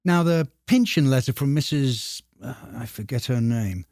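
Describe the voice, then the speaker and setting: A man speaking as a podcast co-host in a measured, deadpan and slightly formal conversational manner. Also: mid-range voice, no room sound, clean studio sound.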